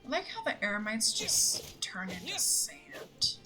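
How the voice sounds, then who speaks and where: A woman's voice: on the high side, sing-song, unhurried, music in the background. A young woman giving casual, playful and slightly sarcastic commentary while streaming a video game. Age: young adult. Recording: clear game noise behind voice.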